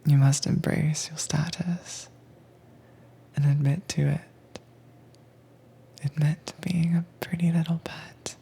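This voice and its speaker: A woman playing a calm, teasingly dominant werewolf tamer in a whispered, soft-spoken roleplay audio, with a breathy voice and a coaxing, commanding manner. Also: sits low, takes it slowly, pauses often, slight background noise, full character voice. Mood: gently commanding.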